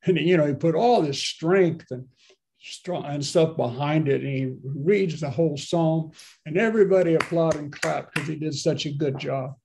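A pastor in his seventies talks through his sermon from a small home office, speaking into a webcam on a video call. His voice sits fairly high but sounds mellow and warm. He rambles casually and often turns to his listeners with questions. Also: moderate pace, vocal fry, slight background noise. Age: 70s. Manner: conversational, rambling preaching with asides and questions to listeners. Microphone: laptop webcam on a video call.

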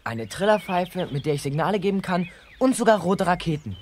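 High-pitched, animated boy climber. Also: fairly quick. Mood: confident. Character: brave teenage boy climber. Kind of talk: children's radio play acting. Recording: clear background noise.

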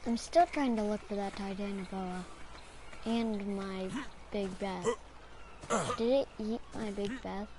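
A woman with a hoarse, strained voice from a sore throat, rambling casually as she comments on her own gameplay. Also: medium pitch, a little slow, long pauses, background noise. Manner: rambling, talks to herself while playing. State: hoarse, recovering from strep throat. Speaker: gamer.

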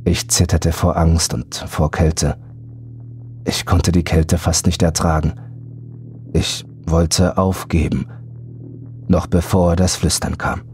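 A man's voice: deep voice, little pitch movement, slow, long pauses, a little mellow. A man reading a scripted first-person horror story as an audiobook narrator. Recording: background music under voice.

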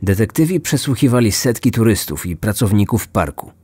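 A man's voice: on the low side, lively intonation, brisk, a little mellow, slightly rough, no room sound. A man hosting a true-crime podcast, reading a scripted narration. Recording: music bed behind the voice.

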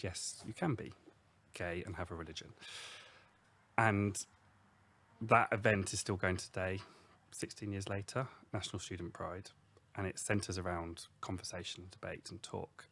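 A man's voice: low voice, fairly bright tone, a little hiss or hum. A man in his thirties, an architect, earnestly telling how his work on inclusion began, at a studio mic. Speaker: architect, guest. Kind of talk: panel interview answer. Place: studio with bookshelves. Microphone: studio mic, headphones.

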